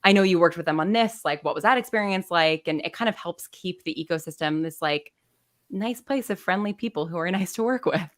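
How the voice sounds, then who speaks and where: A woman speaks in a lowish voice, talking casually as a guest on a video call. She chats freely and leans on "like" fillers as she goes. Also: brisk. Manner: chatty, frequent "like" fillers. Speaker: guest, audio drama writer. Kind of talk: casual conversation.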